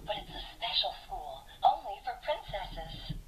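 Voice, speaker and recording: A woman voicing a sweet, flattering enchanted mirror in a children's toy, gushing in a sugary manner. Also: mid-range voice, unhurried. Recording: toy speaker played in room, faint noise.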